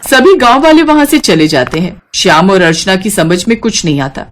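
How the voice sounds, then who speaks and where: A woman narrating a scripted devotional moral story in an expressive storytelling style, with music behind her. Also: mid-range voice, normal speed.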